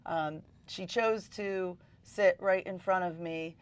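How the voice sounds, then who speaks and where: A woman's voice: on the low side, steady tone, takes it slowly, clear, resonant tone. A middle-aged woman teacher talking in an interview, enthusiastic and full of ums. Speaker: high school teacher.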